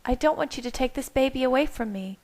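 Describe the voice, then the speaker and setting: A woman's voice: high-pitched, quick pace, a little mellow, pitched above the performer's own voice, close to an everyday voice. A woman voicing a desperate young woman who pleads and insists, refusing to back down. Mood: pleading.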